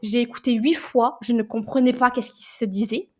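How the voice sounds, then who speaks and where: Chatty Québécoise podcaster. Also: female voice, a little high, moderate pace. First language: French. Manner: animated, repeats words when searching, trails off quietly. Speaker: podcast co-host. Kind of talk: casual book chat.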